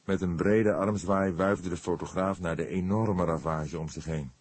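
A man's voice: deep voice, moderate pace, slightly rough, dry recording. A man reading a crime novel aloud as an audiobook narrator, in scripted storytelling style.

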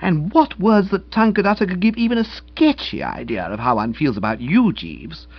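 A man voicing a bright, effusive, chatty young woman. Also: high-pitched, big pitch swings, normal speed, slightly rough, dull audio, pitched above the performer's own voice, exaggerated voice. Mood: delighted, grateful.

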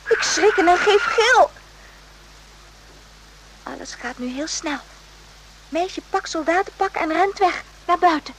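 A high, slow-speaking young nurse. She is timid and earnest, picking out broken sentences in a radio drama, over audible background noise. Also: rough recording. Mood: frightened. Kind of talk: radio play acting.

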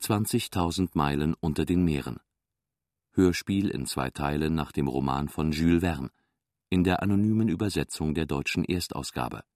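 A man's voice: extremely low pitch, moderate pace, a little mellow, no room sound, studio quality. A man reading a scripted radio announcement of title and cast in formal, clipped phrases.

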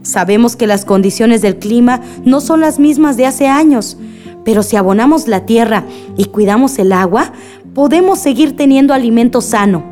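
Radio drama: actress as earnest village woman addressing her assembly, measured and solemn, fairly high voice, music underneath. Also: animated, warm tone, lightly acted. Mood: hopeful, urging. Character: Alicia, grieving village woman, earnest community peacemaker.

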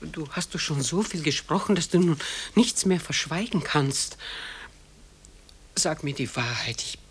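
An elderly mother with a husky, breathy voice and slow, deliberate pace. She is dignified yet anxious and insistent, pleading as in a German radio play. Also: low voice, expressive, full character voice. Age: older. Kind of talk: German radio drama acting.

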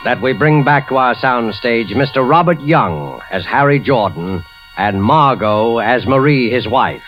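A man with a measured, dramatic manner introducing a 1940s radio suspense programme from a script. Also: medium pitch, lively intonation. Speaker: programme announcer. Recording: muffled 1940s radio recording, music behind.

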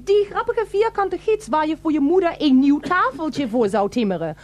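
A woman's voice: high-pitched, lively intonation, normal speed, a little mellow. A woman acting a gushing, teasing woman in a satirical radio play, with exaggerated, mock-dramatic emphasis.

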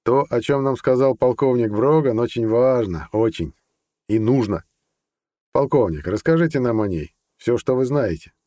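A man voicing a sly, deliberate judge with a lawyerly manner in an audiobook. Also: medium pitch, lively intonation, dark tone, no room sound, voice pushed up, lightly acted. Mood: businesslike. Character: sly, measured older judge, a scheming conspirator. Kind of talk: audiobook character dialogue.

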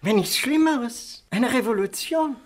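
A woman's voice: fairly high pitch, lively intonation, a little slow. A woman playing an unctuous, approving government minister in a radio play. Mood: dry. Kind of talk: German radio play acting.

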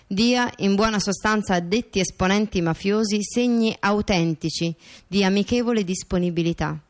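A woman reads in a mid-pitched voice with formal, measured delivery. She declaims the scripted text like an official ruling in a radio theatre piece. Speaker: radio theatre performer reading court documents. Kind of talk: scripted dramatic reading of legal text.

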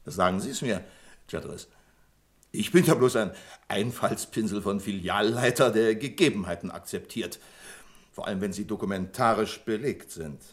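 A man's voice: fairly low pitch, moderate pace, lightly acted. A man acting in a German radio play as an exasperated, sarcastic bank branch manager, with indignant, dramatic emphasis.

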